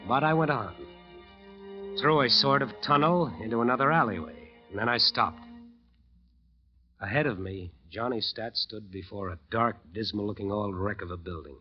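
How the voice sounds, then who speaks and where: A man's voice: lowish voice, normal speed, slightly rough. An actor playing a driven, ambitious musician who narrates his nightmare dramatically in an old radio drama. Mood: eerie, excited. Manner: dramatic, intense storytelling. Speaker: narrator and lead character. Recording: dull old radio recording, music behind.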